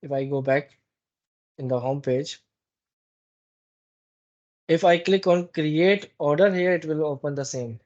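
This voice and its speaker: A man runs a live software training session. His voice sits a little high for a man, with a soft, dark, relaxed tone. He explains step by step in a halting, instructive way, repeating phrases, and the recording is somewhat dull and lo-fi. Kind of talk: live training walkthrough with screen demonstration. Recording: dull, muffled lo-fi meeting recording.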